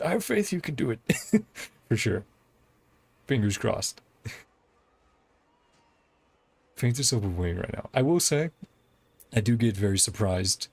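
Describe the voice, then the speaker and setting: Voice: mid-range voice, creaky, a little mellow, no echo. A game streamer chatting casually over gameplay in an easygoing, encouraging way, often laughing while talking. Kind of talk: casual livestream gameplay commentary and chat.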